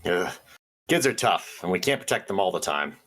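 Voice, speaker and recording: An actor playing an earnest small-town radio host who speaks in a conspiratorial, hesitant way with dry asides. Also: male voice, low-pitched, big pitch swings, normal speed, a bit bright, heavily acted. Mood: sympathetic. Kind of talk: audio drama radio call-in hosting.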